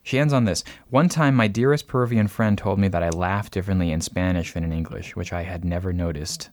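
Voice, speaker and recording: A man hosting a casual podcast, reading out a listener's letter and talking thoughtfully with many pauses and fillers. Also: sits low. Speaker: podcast host.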